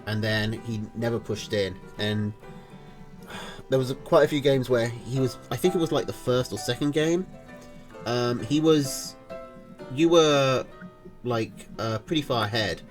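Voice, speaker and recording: A man's voice: medium pitch, a little slow, soft background music. A man with a nasal voice interviewing a player casually, tired and rambling. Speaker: stream interviewer, caster. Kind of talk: casual post-match interview.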